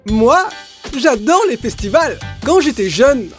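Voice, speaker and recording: A theatrical, boastful children's cartoon figure. The delivery is declamatory and lively in pitch, sitting somewhat high, with music behind it. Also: female voice, slow. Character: theatrical boastful hairstylist and formal contest announcer.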